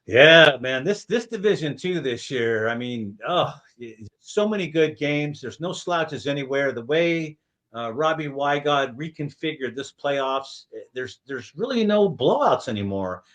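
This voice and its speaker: A man's voice: fairly high pitch, animated, measured pace, fairly bright tone. A man hosting a casual sports chat, with a folksy, emphatic way of talking. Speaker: sports show host.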